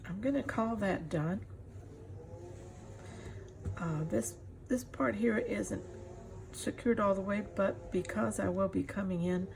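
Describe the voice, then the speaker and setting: A middle-aged woman giving a casual craft demonstration as she works, pausing often to think. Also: mid-range voice, darkish tone. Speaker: craft tutorial host. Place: indoors at a craft table. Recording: clear background noise, room ambience.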